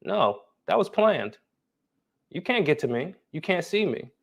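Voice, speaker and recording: A man's voice: sits high, big pitch swings, a little slow, creaky. A man with an American accent hosting a casual interview, talking in a boastful, profane way. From North Philadelphia and Brooklyn, United States. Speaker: interview host. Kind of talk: casual conversation.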